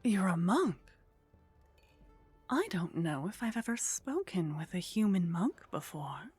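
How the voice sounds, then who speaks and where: A woman playing a haughty, flirtatious vampire in an intimate ASMR roleplay, speaking softly and close to the microphone with a teasing, condescending manner that often drops toward a whisper. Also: lowish voice, very animated, a little slow, dry recording, soft background music, full character voice. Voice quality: soft, breathy.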